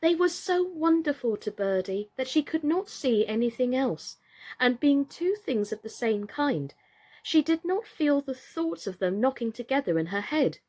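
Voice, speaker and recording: A woman reading a children's story aloud as scripted, expressive narration. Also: high-pitched, moderate pace. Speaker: storyteller, reader.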